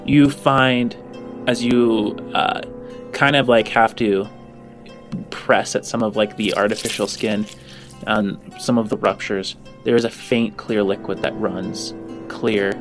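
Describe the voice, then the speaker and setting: A man working as game master, improvising narration in a halting way as he searches for words. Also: lowish voice, steady tone, faint music behind.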